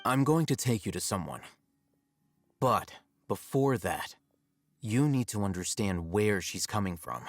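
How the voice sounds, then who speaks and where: An actor playing an aloof, rational young scholar in a video game, speaking in a measured, matter-of-fact, slightly condescending way. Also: male voice, on the low side, expressive, no echo, studio quality. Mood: self-assured. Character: aloof, rational young male scholar, coolly self-assured.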